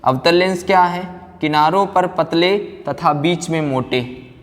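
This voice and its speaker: A man's voice: fairly high pitch, a little slow. A man teaching a physics class like a lecturer, asking questions and answering them himself. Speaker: science teacher. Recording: very reverberant room, background noise.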